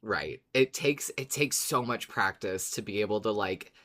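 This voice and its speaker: A woman with a strikingly deep voice chats casually on a podcast panel. She thinks aloud, feeling her way through her phrasing. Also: normal speed, fairly bright tone, slight background noise. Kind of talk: casual chat.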